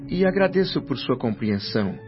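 An actor playing a humble, earnest deceased doctor in a radio drama, speaking formally and deferentially. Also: male voice, medium pitch, big pitch swings, moderate pace, lightly acted. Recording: dull lo-fi radio drama over music.

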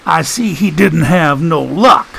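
A man's voice: a little high, lively intonation, slow, creaky, pushed and bright, slight background noise, voice pushed up, heavily acted. A man voicing a folksy, wisecracking man in an audiobook, full of sarcasm and mock-pompous big words. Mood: sarcastic.

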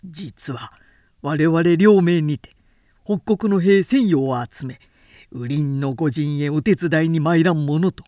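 A woman as forceful male army officer, projected. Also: deep voice, takes it slowly, dry recording, studio quality. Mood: respectful report. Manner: formal, martial, emphatic projection. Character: loyal male army officers, urgent and deferential.

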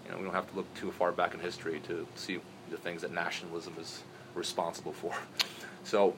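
A man raised in Brazil talks quickly in a very deep voice, circling his points with restarts and fillers as an interview guest. There is clear background noise throughout the recording. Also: little pitch movement. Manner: rambling, many restarts and "you know". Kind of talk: casual interview talk.

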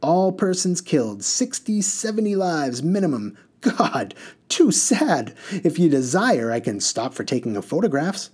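A man's voice: a little high, very animated, unhurried, darkish tone, slightly rough, voice pushed up, exaggerated voice. A man doing a bellowing reckless coach driver. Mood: gleeful. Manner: bellowing, singsong, rambling. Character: reckless gleeful male mail coach driver.

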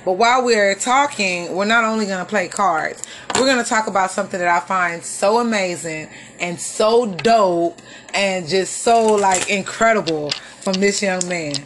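A woman's voice: medium pitch, normal speed, slight background noise. Chatty woman podcast host saying y'all. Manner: chatty, laughs easily, addresses listeners as y'all. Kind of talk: casual chat. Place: apartment.

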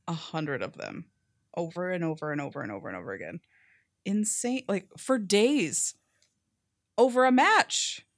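A young woman with a fairly deep voice, co-hosting a podcast and telling stories in a casual, animated way with many "like"s. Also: no echo, studio quality. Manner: animated storyteller, says "like" often. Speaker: podcast co-host. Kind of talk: casual chat.

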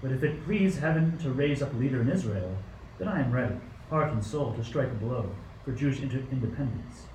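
Man acting as tyrant king, radio play, imperious, declamatory, suspicious, medium pitch, roomy sound with faint noise. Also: animated, a little fast, few pauses, darkish tone, distant mic, natural voice. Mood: measured, scheming. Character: King Herod, stern, suspicious, bitter tyrant ruler.

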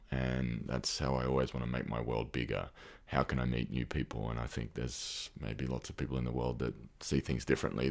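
A middle-aged man with an Australian accent and an extremely deep, low voice. He talks in a thoughtful, hesitant way, pausing on ums and restarting his sentences as he works through his ideas in a relaxed podcast conversation. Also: vocal fry. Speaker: podcast guest. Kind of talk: reflective conversational talk.

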